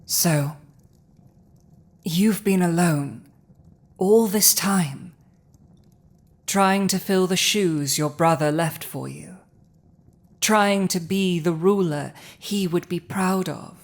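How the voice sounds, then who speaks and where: A woman plays a commanding female dragon in an intimate audio drama. The voice is very low for a woman and unhurried, with weighty pauses that give each phrase a dramatic, imposing gravity. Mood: sympathetic, subdued. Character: villainous commanding female dragon, intense yet softening to compassion. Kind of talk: dramatic ASMR audio roleplay.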